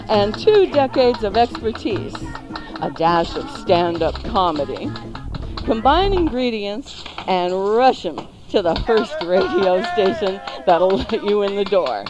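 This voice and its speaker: A woman's voice: mid-range voice, sing-song, unhurried. A woman hosting a live morning radio show with a theatrical, playful announcer's delivery. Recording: loud music behind the voice. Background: music.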